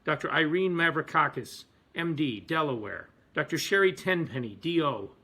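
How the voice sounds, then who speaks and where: A man delivering a prepared advocacy speech as a physician, emphatic and deliberate with pauses. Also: fairly high pitch, animated, bright, forceful tone. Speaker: physician and activist.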